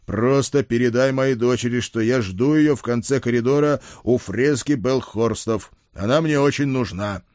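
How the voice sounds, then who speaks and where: A man playing a weary, gruff old lord in an audiobook, dry, curt and sarcastic. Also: medium pitch, moderate pace, hardly any pauses, a little mellow, no room sound, close to an everyday voice. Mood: curt, sardonic. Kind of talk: audiobook character acting.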